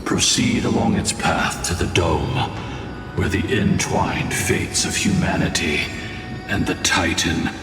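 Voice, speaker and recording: A solemn ancient male spirit from a fantasy game, speaks very low and unhurried. He is grave and formal, his voice ringing through a long, distant reverb. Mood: solemn, challenging. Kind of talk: theatrical fantasy video game dialogue. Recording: very echoey distant hall, music behind.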